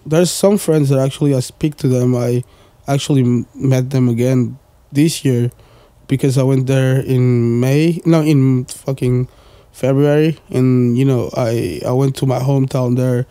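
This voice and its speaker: A man's voice: medium pitch, steady tone, measured pace, few pauses, warm tone, smooth, dry recording. A man, chatting casually as a podcast guest, rambling with lots of fillers and the odd swear word. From Portugal. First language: Portuguese. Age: 20s. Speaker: guest, music producer.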